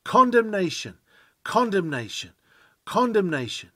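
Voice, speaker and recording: A man voicing clamouring sins that accuse and condemn a sinner in a sermon, loud and declamatory. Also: high-pitched, big pitch swings, a little slow, no room sound, studio quality, pitched above the performer's own voice, heavily acted. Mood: howling condemnation.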